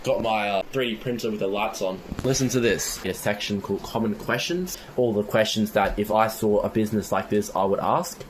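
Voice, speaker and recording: A man's voice: fairly low pitch, brisk, few pauses, a little hiss or hum, low-quality recording. A teenage boy talking casually to his viewers as he narrates his progress on an online business. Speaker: vlogger starting an online business. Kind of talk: casual vlog talk, narrating own progress.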